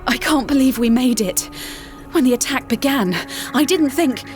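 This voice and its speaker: A woman's voice: high-pitched, moderate pace, faint music behind, natural voice. A woman playing an earnest, anxious expedition member. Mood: worried. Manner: urgent, earnest. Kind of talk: video game cutscene acting.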